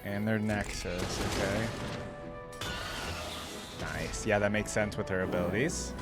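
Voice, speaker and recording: A man hosting a reaction video, chatting informally and slangily as he thinks out loud. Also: fairly low pitch, steady tone, unhurried, loud music behind the voice. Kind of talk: casual reaction commentary.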